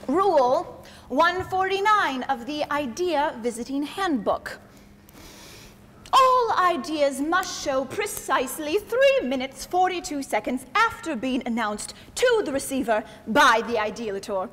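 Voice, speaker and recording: A woman's voice: high voice, animated, unhurried, fairly bright tone. A woman playing an officious, exasperated idea come to life, quoting rules in an indignant, theatrical way in a comic stage play. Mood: pompous. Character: personified idea, officious, exasperated, rule-quoting female messenger. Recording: echoey stage hall, clear background noise.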